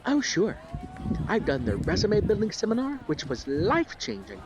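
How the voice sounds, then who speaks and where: An actor plays an eager, starry-eyed man with a fairly high voice and very lively intonation. He makes earnest, gushing small talk as a true believer in an audio drama. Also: normal speed, low-quality recording. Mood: friendly, eager. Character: eager, starry-eyed male devotee of an organization.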